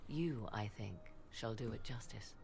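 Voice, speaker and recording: An actress playing a proud, enigmatic sorceress mother in a fantasy game, speaking formal, archaic English with a measured, haughty manner. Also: rumbling low, creaky, darkish tone, slight background noise, full character voice. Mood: solemn. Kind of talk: dramatic fantasy game dialogue.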